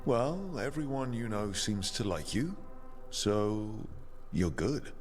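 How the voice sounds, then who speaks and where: A man's voice: lowish voice, sing-song, slow pace, soft background music. A man acting a genial, wry adventurer hero in a video game, with a casual delivery. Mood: wry, friendly.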